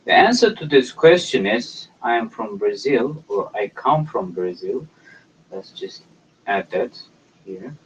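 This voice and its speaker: A man teaching a language lesson, explaining step by step in a hesitant, halting way with many pauses. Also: mid-range voice, big pitch swings, slight background noise. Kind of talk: slow explanatory lecture. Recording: dull lo-fi recording.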